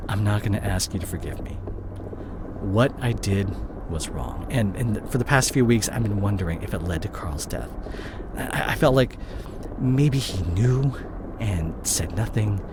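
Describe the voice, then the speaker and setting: A man acting in an audio roleplay as a guilt-ridden disgraced CEO boyfriend, speaking to his partner in a halting, emotional way. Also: fairly low pitch, expressive. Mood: guilty, pleading. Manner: halting, emotional, repeats and breaks off words. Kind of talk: dramatic audio roleplay monologue addressed to the listener. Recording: clear vehicle noise behind the voice. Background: car ambience.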